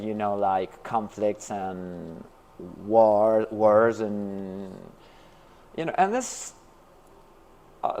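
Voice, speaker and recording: A man's voice: fairly low pitch, a little mellow. A man from Brooklyn, New York, answering interview questions in a casual, hesitant way, with many fillers and restarts. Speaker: interview guest, artist and teacher.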